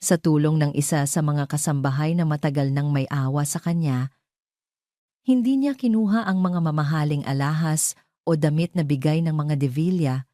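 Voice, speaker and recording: A woman narrating a scripted romance drama as a storyteller, in a measured, solemn manner. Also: sits low, mellow, no room sound, studio quality.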